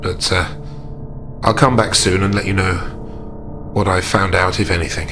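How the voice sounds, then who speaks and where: Horror tabletop game role: gentleman investigator played by a man, deep, polite, hesitant, protective manner, over background music. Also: fairly even intonation. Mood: protective, grave. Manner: formal, measured, hesitant.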